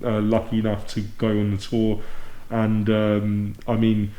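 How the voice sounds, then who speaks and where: Even-toned British man in an echoey room. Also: on the low side, unhurried. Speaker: podcast host. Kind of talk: casual chat. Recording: roomy echo on the voice.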